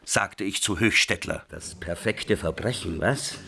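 An actor playing a chatty, wry ex-safecracker in a radio crime play, telling a story. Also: male voice, deep voice, unhurried, vocal fry, pushed and bright, heavily acted. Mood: wry recounting. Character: chatty male ex-safecracker recounting a night escapade, wry.

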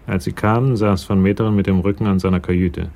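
An actor narrating a radio crime drama in a measured, matter-of-fact way as a sober storyteller. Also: male voice, low voice, fairly even intonation, gravelly, a little mellow.

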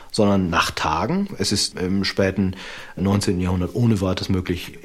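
A man explains history as an interviewed expert. His voice is low and somewhat husky.

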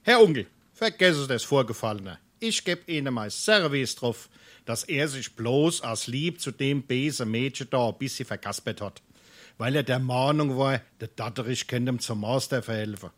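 A man's voice: medium pitch, expressive, moderate pace, fairly bright tone. Blunt, canny Hessian townsman. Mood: reassuring. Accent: Darmstadt Hessian. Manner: dry, needling. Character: canny, blunt male townsman, a family friend. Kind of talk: dialect stage comedy acting.

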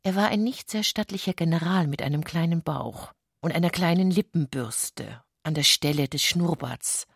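A woman narrating a literary radio play in a measured, precise manner. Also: low voice, vocal fry, slightly rough, no room sound, studio quality.

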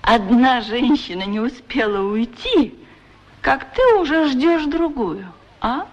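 A woman's voice: a little high, lively intonation, takes it slowly, lo-fi sound. A woman voicing a playful talking boot in a 1960s radio play, teasing and asking pointed questions. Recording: noisy 1960s radio recording.

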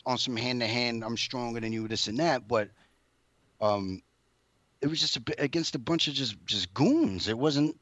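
A man's voice: medium pitch, normal speed, a bit bright, no room sound. Slangy, rambling man, dry muffled sound. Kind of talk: casual chat about TV shows.

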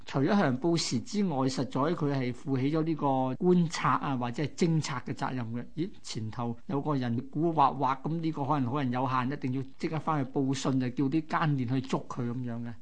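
A man's voice: medium pitch, brisk, hardly any pauses. A man with a Hong Kong Cantonese accent co-hosting, telling local history in a colloquial, explanatory way. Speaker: radio co-host. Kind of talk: conversational history storytelling.

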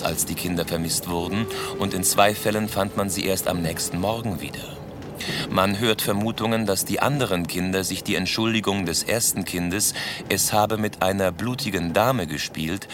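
An actor playing a sober newspaper reader in a radio play, measured and formal. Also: male voice, low-pitched, a bit bright, slight background noise. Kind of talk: radio drama reading of a newspaper report. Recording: radio play with faint background ambience.

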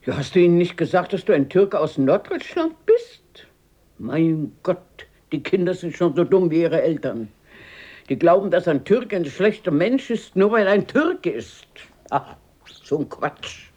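An actress playing a blunt, fearless, warm-hearted old grandmother in a radio drama, brisk and outspoken, scolding yet affectionate. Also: fairly low pitch, sing-song, lo-fi sound. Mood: indignant. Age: older.

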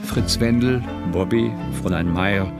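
A man playing a sober, observant narrator in a radio drama, measured and understated in his scripted storytelling. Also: lowish voice. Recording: radio drama with music bed.

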